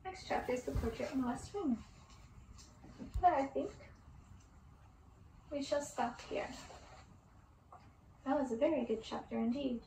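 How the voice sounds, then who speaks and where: High-voiced woman reading a story, roomy. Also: unhurried, pauses often, mellow. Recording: distant roomy mic with background hiss.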